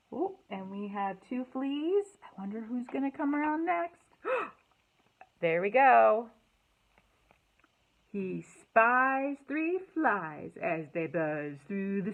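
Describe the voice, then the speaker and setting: Expressive, high-pitched woman reading to children. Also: phone-quality sound. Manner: expressive, sing-song, addressing young children. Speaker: library storytime host. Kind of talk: children's storytime, read-aloud and singalong.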